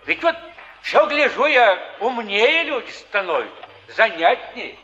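An actor playing a kindly, sly old wandering pilgrim in a stage drama, folksy and philosophizing. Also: male voice, extremely high pitch, big pitch swings, a little slow, pushed and bright, heavily acted. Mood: musing, amused. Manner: folksy, chuckling, philosophizing in sayings. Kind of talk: theatrical stage acting. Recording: dull 1950s-70s stage recording, long hall reverb.

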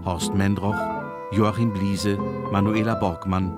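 Male announcer, scripted credits, lowish pitch, measured slow delivery, loud guitar backing. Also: level tone. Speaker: radio drama announcer. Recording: loud guitar music behind the voice.

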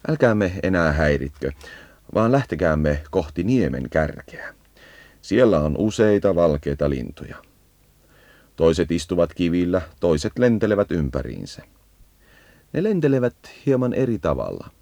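A man's voice: deep voice, very animated, a little mellow, dry recording. A man narrating a scripted educational birdsong guide, leading the listener as if on a field walk.

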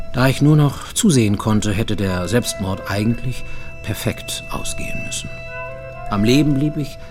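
An actor playing a brooding, lonely man confessing his troubled youth in a radio play, halting, intense and confiding, often close to whispering. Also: on the low side. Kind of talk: dramatic first-person monologue in a radio play. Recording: studio radio play with music underneath.

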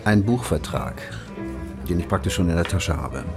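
A man's voice: low voice, over music, lightly acted. An actor playing an eager, good-natured male English professor who pleads and persuades in a radio play. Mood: coaxing. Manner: pleading, persuasive, talks fast when excited.

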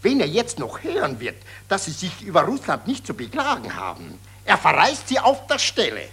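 An actor playing a sly, gossipy journalist in a 1940s German radio play. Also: male voice, high voice, wildly swooping pitch, moderate pace, bright, forceful tone, breathy edge, full character voice. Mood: insinuating. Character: sly, gossipy male Viennese journalist giving veiled threats.

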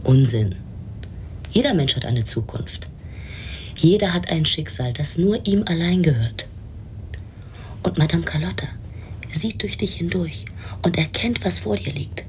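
A woman's voice: lively intonation, unhurried, long pauses, darkish tone. An actress playing a bossy, superstitious young woman in a radio drama, speaking in an insistent, assertive way. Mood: assured. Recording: rumbling noise behind.

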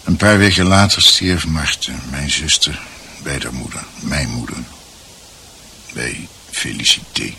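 An actor in a radio drama playing a grave man who recounts a family tragedy in a measured, sombre way. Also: very deep voice, lively intonation, gravelly, pushed and bright, heavily acted. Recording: faint hiss.

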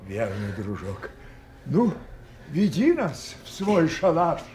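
Aged, grief-torn mad monarch, slow theatrical declamation with big swings, stage tragedy. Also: male voice, fairly high pitch, huge pitch swings, a little mellow, a little hiss or hum, full character voice. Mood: broken, tender. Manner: declamatory, swinging from outcry to broken murmur. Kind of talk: theatrical Shakespearean radio drama acting.

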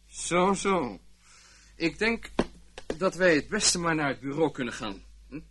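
Casting: a brisk, mocking police detective, lively higher-pitched voice, old radio drama with clear noise. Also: male voice. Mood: smugly polite. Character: brisk, cunning male fraud detective, slyly polite. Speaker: actor in a radio play. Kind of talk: Dutch radio play acting. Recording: noisy old radio play recording, clicks.

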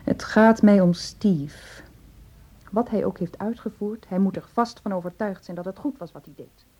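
A woman acting a loyal young woman in love in a radio play. She speaks in a husky, dark-toned voice with earnest, pleading intensity and stubborn conviction. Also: mid-range voice, normal speed, close to an everyday voice. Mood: defensive.

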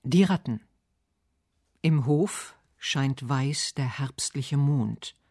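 A man reading literary narration and verse for a radio essay with measured, deliberate phrasing. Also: medium pitch, a little mellow, dry recording, studio quality.

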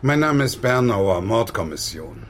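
An actor playing a deliberate homicide detective in a radio crime drama, formal, measured and probing. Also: male voice, mid-range voice, sing-song, a little hiss or hum. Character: deliberate male homicide detective questioning a witness.

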